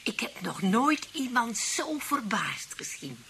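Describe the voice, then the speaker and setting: A slow, shrewd old woman. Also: a little high, very animated, noisy background. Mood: amused, conspiratorial. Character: dry, shrewd old woman, the aunt's companion. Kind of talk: radio play acting.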